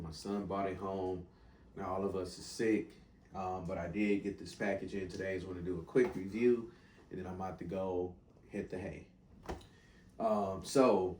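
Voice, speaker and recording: A man's voice: low-pitched, reverberant, distant mic, a little hiss or hum. A man in his thirties with a stuffy, congested voice, rambling casually through a sneaker review from home. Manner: rambling, relaxed and chatty. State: congested with a cold.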